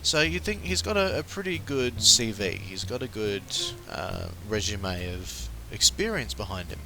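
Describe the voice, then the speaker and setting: A man's voice: on the low side, lively intonation, unhurried, vocal fry, sharp tone. An Australian man hosting a pop culture podcast, talking casually with lots of ums and uhs. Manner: chatty, frequent ums and uhs. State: recovering from flu. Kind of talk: casual solo commentary.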